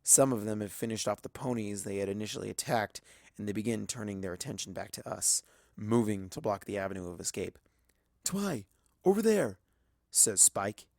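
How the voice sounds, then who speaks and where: A man's voice: lowish voice, steady tone, fairly quick, vocal fry, darkish tone, no echo, studio quality. A man reading a story aloud as a dramatic first-person narration.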